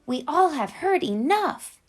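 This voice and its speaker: A woman's voice: sits high, sing-song, slow, pitched above the performer's own voice, full character voice. A woman voicing a fed-up crew of pirates in a children's storybook, speaking emphatically.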